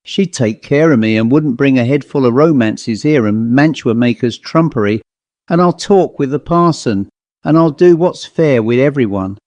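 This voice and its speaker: A man voicing a gruff, troubled country squire with a rough, blustering way of speaking. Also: mid-range voice, lively intonation, normal speed, darkish tone, no echo, natural voice. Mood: repentant. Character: gruff, guilt-ridden male country squire.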